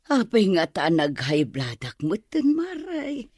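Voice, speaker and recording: An actress playing a lazy, nosy, exclamatory housewife in a comedy radio drama. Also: female voice, on the low side, huge pitch swings, slow pace, a little mellow, breathy edge, no echo, clean studio sound, exaggerated voice. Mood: whiny, worried. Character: lazy, nosy housewife with high blood pressure.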